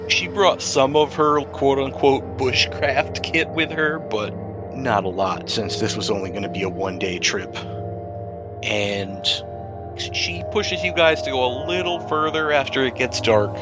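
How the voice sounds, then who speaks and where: A man's voice: mid-range voice, unhurried. A man acting as game master, describing scenes in a conversational, improvised way for a tabletop role-playing game. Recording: music playing behind the voice.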